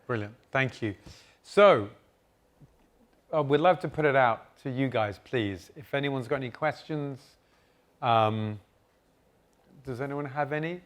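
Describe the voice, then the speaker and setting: Calm man moderating a panel. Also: mid-range voice, measured pace, long pauses. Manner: calm, advisory, explanatory. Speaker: host and moderator. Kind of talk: panel moderation and advice at a live event. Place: conference stage.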